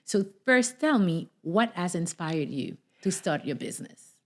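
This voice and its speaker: Woman, podcast host, lower-pitched voice, conversational interview style. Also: expressive, a little slow.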